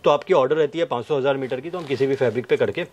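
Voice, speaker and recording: A man in his thirties giving a sales pitch to camera, listing things with repetitive emphasis. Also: very fast, darkish tone, highs cut off. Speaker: fabric manufacturer's representative presenting stock. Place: fabric showroom indoors. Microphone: clip-on wireless lapel mic.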